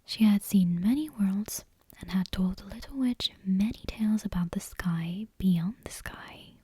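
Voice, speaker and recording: Female ASMR storyteller, very breathy whisper with a soft mellow tone, gentle scripted bedtime narration. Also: medium pitch, unhurried, no echo. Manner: gentle, careful, hushed read-aloud delivery.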